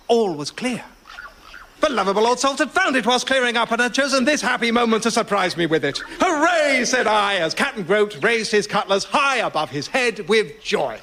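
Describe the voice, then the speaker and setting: An actor playing a pompous, cheerfully oblivious gentleman adventurer, telling a florid old-fashioned tale in a radio sketch. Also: high voice, slight background noise, full character voice. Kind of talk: comic storytelling, radio sketch.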